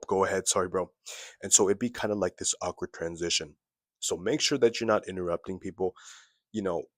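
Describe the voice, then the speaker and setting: A young man with a very deep, low voice talks straight to the camera, giving casual advice. He often repeats phrases and checks in with a quick "okay?" The sound is dry and close, with no room echo. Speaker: self-improvement vlogger.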